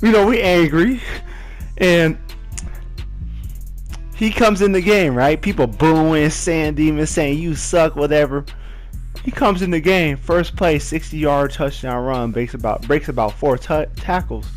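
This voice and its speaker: A young man telling a personal football story in a casual, animated way, full of slang. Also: on the high side, unhurried, soft background music. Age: young adult.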